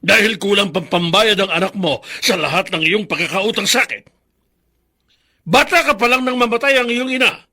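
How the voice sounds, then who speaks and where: A Filipino actor playing a stern, domineering older father, scolding in a husky, declamatory voice in a radio melodrama. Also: high-pitched, normal speed, pushed and bright, exaggerated voice. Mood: scornful reproach.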